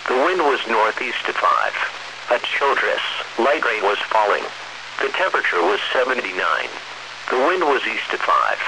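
Over clearly noisy radio, a synthetic American man reads a weather bulletin. His pitch is middling and his delivery is measured and impersonal, like scripted automated announcing.

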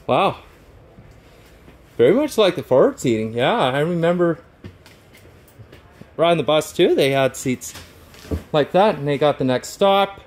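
Excitable Canadian man touring buses. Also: on the high side. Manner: excited, halting, repeats bus details. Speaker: transit vlogger. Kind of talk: casual touring vlog. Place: bus display in a convention centre, indoors.

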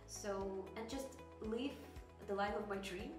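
A young woman from Belarus, a university student, giving thoughtful, measured interview answers with some hesitations. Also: mid-range voice. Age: young adult. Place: indoor campus room. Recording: roomy echo, music bed underneath.

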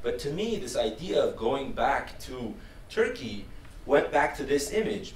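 A middle-aged man from Armenia gives an earnest public lecture, speaking emphatically and piling up words in lists. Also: medium pitch. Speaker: filmmaker giving a lecture. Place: wood-panelled lecture room, indoors. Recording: roomy hall, distant mic, faint noise.

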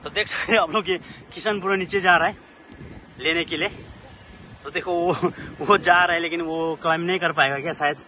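A young man in his twenties talks fast and loose to his phone camera while scrambling up a rocky hilltop beside a road. His voice sits high and bright. Faint outdoor noise hangs behind him. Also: steady tone. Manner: chatty, narrating while climbing a rock. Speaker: vlogger. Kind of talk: casual vlog narration.

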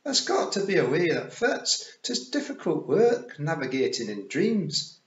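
A tiny, boastful Scottish pixie chief, pitched high and swooping wildly. The delivery is loud, strained and rough-and-ready. The recording is dull and roomy. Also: male voice, sing-song, measured pace. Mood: cheerful, assertive. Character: bold cheerful tiny pixie clan leader, gruff and boastful.

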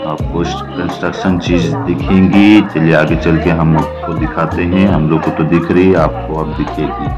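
A man with a very low voice, from Jharkhand, guides viewers through a school train journey in steady travel-vlog narration. The sound is dull and lo-fi, as from a phone, with loud music playing underneath. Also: normal speed, few pauses. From Jharkhand, India. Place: moving train.

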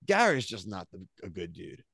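A man's voice: deep voice, sing-song, normal speed, fairly bright tone, husky, dry recording, clean studio sound. An American man in his thirties chatting casually as a podcast co-host, with slangy, opinionated recaps. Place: small home room, on a video call.

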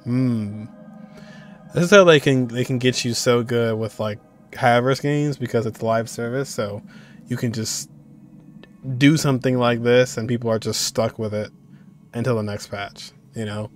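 A man's voice: mid-range voice, unhurried, soft background music. A young man hosting a game reaction video, talking casually and exclaiming at what he sees, from a desk in an indoor room. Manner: animated, exclaiming and laughing at the screen. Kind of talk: casual live reaction commentary.

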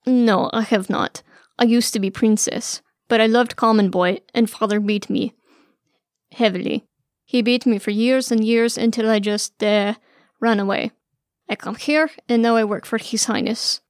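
A woman's voice: fairly high pitch, fairly even intonation, moderate pace, no room sound, clean studio sound, natural voice. A woman voicing a kindly, weary old female servant with a thick Russian accent and plain, broken English, in an audiobook story. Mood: matter-of-fact, sad.

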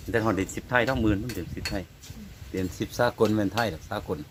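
A man with an Isan accent talking casually to his viewers in a home vlog, relaxed and easygoing. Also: on the low side, quick pace, noisy background. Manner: relaxed, addresses viewers as brothers and sisters. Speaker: vlogger, father.

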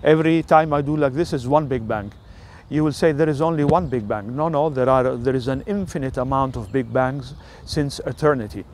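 An older man with an Italian accent, an Italian native speaker, talking through a rambling personal monologue with many filler sounds and pauses. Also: medium pitch, slight background noise.

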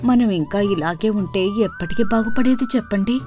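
A woman's voice: mid-range voice, moderate pace, warm tone, close to an everyday voice. A woman voicing a discontented village wife in a cartoon story, complaining and questioning as she longs for riches. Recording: music under the voice.

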